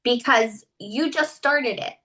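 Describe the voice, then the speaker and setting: A bright, slightly high-pitched woman hosts with effusive enthusiasm. Her voice sits a little away from the microphone, carrying a lively, eager lilt. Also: slow, far from the mic. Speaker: podcast host. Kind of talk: upbeat interview hosting.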